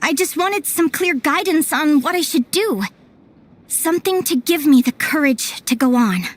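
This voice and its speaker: A woman's voice: high-pitched, lively intonation, normal speed. A woman playing a friendly, earnest, strong-willed young advisor in a video game. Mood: reflective.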